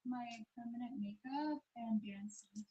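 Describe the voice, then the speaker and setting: A woman with a bright, high voice answers interview questions in short, easygoing replies. Her manner is casual and giggly. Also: measured pace, slightly rough. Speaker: interview guest.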